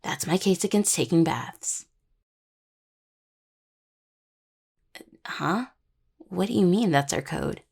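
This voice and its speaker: A woman playing an affectionate, playfully stubborn young necromancer healer in an intimate roleplay audio, with a husky voice and a halting, casual, teasing way of talking. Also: on the low side, darkish tone, full character voice. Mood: indignant, playful. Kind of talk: intimate roleplay audio, one-sided dialogue to listener.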